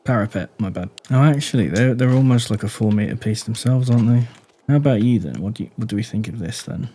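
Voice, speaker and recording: Guy narrating his game build, keeps it low and quiet, thinking out loud at a desk mic. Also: breathy edge. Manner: thinks aloud, asks the viewer rhetorical questions; speaks deliberately low and quiet. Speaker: gaming YouTuber. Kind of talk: casual let's-play building commentary.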